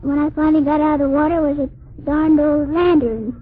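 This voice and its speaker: A man's voice: extremely high pitch, fairly even intonation, fairly quick, pitched above the performer's own voice. A man voicing a mischievous, literal-minded little girl with innocent, cheeky comebacks in a 1940s radio comedy act. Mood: sly, deadpan. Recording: tinny, hissy 1940s radio broadcast.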